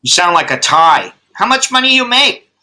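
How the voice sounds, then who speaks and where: American guy late 50s, rambly jokey chat, swears a lot, really bright voice, kinda high. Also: very animated, normal speed. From United States. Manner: rambling, profane, joking. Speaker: host. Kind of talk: casual chat.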